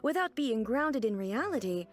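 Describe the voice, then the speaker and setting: A woman's voice: a little high, very animated, moderate pace. A woman voicing a regal, earnest and literal-minded female ruler in an anime-style video game, speaking formally. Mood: puzzled, earnest. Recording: game music behind.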